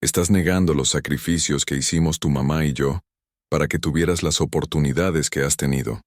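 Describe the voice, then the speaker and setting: A man narrating a dramatic first-person story in a measured, stern manner. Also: rumbling low, steady tone, no room sound, studio quality.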